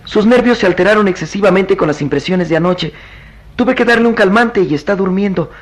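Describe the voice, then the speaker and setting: A man playing a tender, troubled young gentleman doctor in a radio drama, with an earnest, deliberate delivery. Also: high voice. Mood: resigned. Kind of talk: Latin American radio drama acting.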